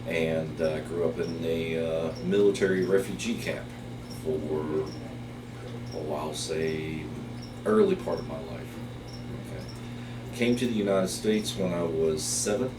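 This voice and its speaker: Middle-aged man from Taiwan, Chinese first language, low voice, halting interview delivery, recorded roomy and off-mic with hiss behind. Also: far from the mic. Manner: hesitant, many fillers, unhurried. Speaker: biology professor. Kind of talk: interview storytelling. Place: office.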